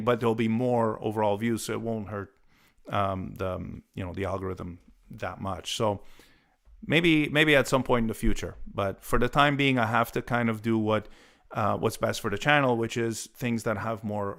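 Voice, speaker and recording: A man's voice: mid-range voice, fairly bright tone. A man in his forties, born in Italy with Italian as his first language, chatting casually on a live stream with many fillers and restarts. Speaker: guitar channel host.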